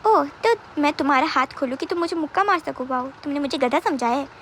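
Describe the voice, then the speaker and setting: A woman's voice: sits high, animated, brisk, fairly bright tone, a little hiss or hum. A woman voicing a smug, controlling male captor in a told story, taunting and unbothered as he commands. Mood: taunting, cool.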